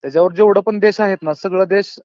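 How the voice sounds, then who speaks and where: A man's voice: high voice, fast talker, darkish tone, dry recording. A man with a rural Maharashtrian accent chatting on a phone call, holding forth earnestly in colloquial, philosophising style. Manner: colloquial, earnest, philosophising, often addresses listener as brother. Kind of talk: casual phone chat, opinionated monologue. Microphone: phone call, close and dry. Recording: dull lo-fi phone call recording.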